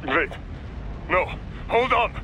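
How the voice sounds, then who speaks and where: Anguished male militant leader for a game: Eastern European accent, raised pitch, dramatic swings, emphatic and fanatical. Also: very animated, slow pace, a little hiss or hum, heavily acted, poor audio. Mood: alarmed, anguished. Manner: impassioned, theatrical, emphatic. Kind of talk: dramatic video game acting. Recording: voice under loud music.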